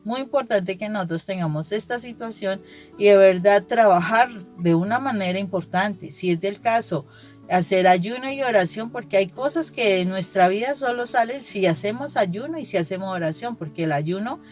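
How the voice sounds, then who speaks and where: A woman speaks in an earnest, explanatory way, as in a conversational gospel reflection. Her pitch sits in the middle for a woman, and she often rephrases what she has just said. Soft guitar music plays underneath. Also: moderate pace.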